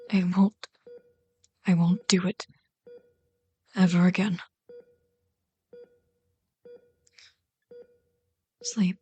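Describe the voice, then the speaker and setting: A woman playing a lovesick, conflicted villain in an ASMR roleplay, speaking in a hushed, halting, intense and tender way. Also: mid-range voice, breathy edge. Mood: tender, apologetic. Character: lovesick, conflicted villain pleading with wounded captive.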